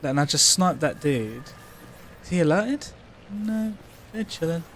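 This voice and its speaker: A man's voice: on the high side, animated, unhurried, slight background noise. A man co-hosting a casual gaming livestream, thinking aloud in a rambling, sweary way. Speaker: game streamer co-host.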